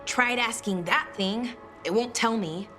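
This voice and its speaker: An earnest young female scholar from a fantasy game. She speaks slowly and hesitantly at a middling pitch, anxious but hopeful, over faint music. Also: bright, forceful tone. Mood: troubled, uncertain. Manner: hesitant, trailing afterthoughts. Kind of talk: video game voice acting.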